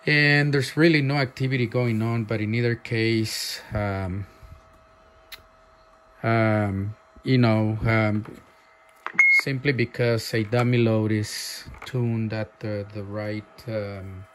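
A man casually showing off a radio he is selling, talking haltingly with many fillers and breaking into loud clipped mic tests. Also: lowish voice, steady tone, noisy background. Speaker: seller demonstrating a radio. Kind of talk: casual off-the-cuff product demo. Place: indoor workshop bench.

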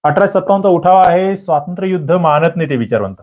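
A man teaching an exam prep lecture, quizzing listeners with lively rhetorical questions. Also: high voice, fast talker. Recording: dull lo-fi audio.

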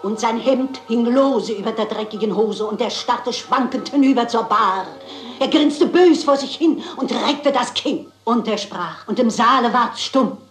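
A roomy sound surrounds an elderly spinster sleuth played by a woman. She is genteel, unflappable and a touch theatrical, with a highish voice, in the manner of a crime radio play. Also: measured pace, soft background music, natural voice. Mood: dramatic reciting. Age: older.

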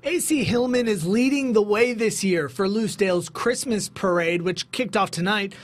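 A man's voice: high voice, normal speed. A young man reading scripted news narration as a television anchor. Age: young adult. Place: TV news studio.

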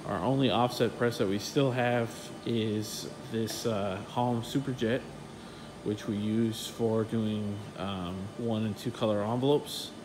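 A man casually walking through his print shop and explaining its equipment in a relaxed, technical way with lots of fillers, recorded on a phone he carries. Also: lowish voice, unhurried, noisy background. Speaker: print shop owner giving a tour. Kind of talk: casual walkthrough tour. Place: print shop offices and warehouse, indoors. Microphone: handheld phone camera, filming while walking.

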